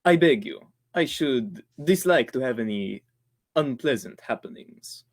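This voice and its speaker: A man acts out a menacing, mannered gentleman from a 1940s-style radio thriller. His pitch is highly animated and leaps about. His wording is formal and deliberate, in the theatrical manner of old broadcasts. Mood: polite menace. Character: menacing polite doctor villain, really a panicking radio actor.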